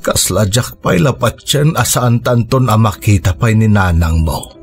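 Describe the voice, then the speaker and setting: A man's voice: on the low side, lively intonation, a little slow, breathy edge, faint music behind, full character voice. An actor playing a grieving, heavy-hearted father consoling his child in a radio drama. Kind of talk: Ilocano radio drama acting.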